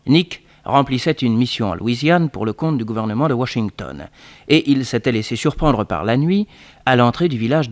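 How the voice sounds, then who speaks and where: A man's voice: mid-range voice, dry recording. A male narrator for a 1960s radio play. He reads scripted, literary scene-setting in a middle register.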